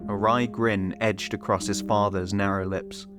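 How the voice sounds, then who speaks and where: A man's voice: fairly low pitch, flat delivery, unhurried, over music. A man reading scripted audio fiction as the story's narrator.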